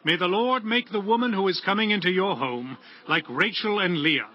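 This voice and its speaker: A man's voice: sits high, normal speed, muffled recording. A man playing a solemn town elder proclaiming in a dramatized Bible reading. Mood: solemn blessing. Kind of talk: dramatized Bible audiobook acting.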